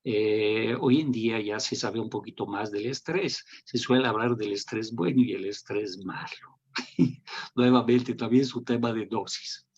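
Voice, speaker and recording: A middle-aged man from France with a French accent, a hypnotherapist calmly explaining on a video call. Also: fairly low pitch, breathy edge. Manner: calm, measured, teacherly, poses questions. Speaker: hypnotherapist guest. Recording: dull lo-fi call audio.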